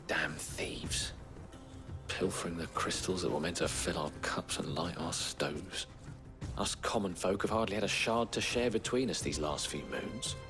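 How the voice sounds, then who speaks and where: A bitter commoner in a fantasy game. His voice is very low and he grumbles resentfully, with music clearly audible behind him. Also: measured pace. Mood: bitter grumbling. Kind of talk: video game voice acting.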